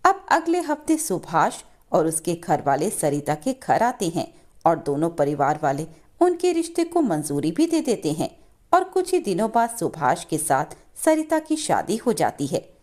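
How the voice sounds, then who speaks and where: A woman's voice: on the low side, sing-song, unhurried, darkish tone. A woman narrating a scripted moral story as storyteller.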